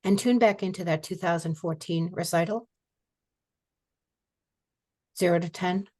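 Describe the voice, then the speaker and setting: An older woman leading a guided tapping session over a video call, speaking slowly and calmly with phrases for her client to repeat. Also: lowish voice. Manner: slow, calm, repeats phrases for client to echo. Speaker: EFT tapping practitioner. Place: home office.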